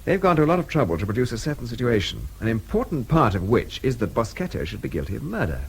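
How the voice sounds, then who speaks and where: A capable British man in a radio play, talking quickly with lively swings of pitch. He is sarcastic, clipped and a little superior. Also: gravelly, husky, exaggerated voice, rough recording. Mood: sardonic, brisk. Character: brisk, sardonic, capable British man, exasperated rescuer. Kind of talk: radio drama acting.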